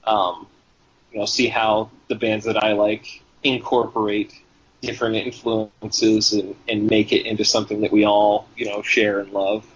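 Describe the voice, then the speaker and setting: A man's voice: on the low side, flat delivery, measured pace, slightly rough. A man from Tennessee, a guitarist guest, answering interview questions in a rambling, hesitant way with many ums and restarts. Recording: dull, lo-fi sound.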